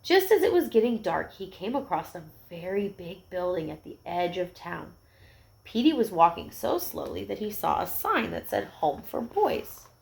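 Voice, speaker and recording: A woman reading a picture book aloud to young children, expressive, with gentle explanatory asides. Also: on the low side, normal speed, vocal fry, low-quality recording.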